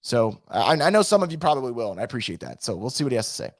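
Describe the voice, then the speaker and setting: A man hosting a sports recap stream, hoarse and losing his voice from feeling sick, ranting and reading out chat comments. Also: mid-range voice, rapid-fire, no room sound, clean studio sound. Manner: animated, frustrated, swearing. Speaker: sports podcast host.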